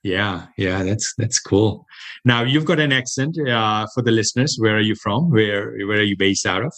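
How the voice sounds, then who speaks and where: A man with an American accent hosting a podcast interview. He sounds upbeat and halting and restarts his questions often. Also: fairly low pitch, a bit bright. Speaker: podcast host.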